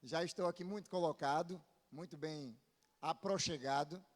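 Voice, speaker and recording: A man preaches in a northeastern Brazilian accent, with a voice a little higher than usual for a man. He speaks to the congregation informally, as a pastor, often tagging his statements with small questions. The sound carries the long reverb of a big hall. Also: moderate pace. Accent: northeastern Brazilian, from Paraíba. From Paraíba, northeastern Brazil. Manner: informal, often seeks response with short tag questions. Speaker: visiting Baptist pastor preaching. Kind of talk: sermon to a congregation. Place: church pulpit, amplified in a large hall.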